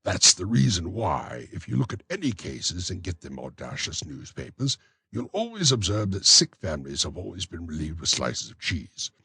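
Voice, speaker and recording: A man's voice: sits low, huge pitch swings, normal speed, creaky, sharp tone, husky, dry recording, exaggerated voice. A man voicing a pompous, self-important male parish beadle who lectures in a blustering way. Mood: smug. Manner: blustering, self-satisfied, preachy.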